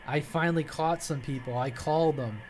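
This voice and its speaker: A man hosting a hobby video, talking informally and haltingly to viewers in a folksy way. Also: fairly high pitch, a little mellow, a little hiss or hum. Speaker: hobby radio channel host. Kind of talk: casual vlog talk to viewers.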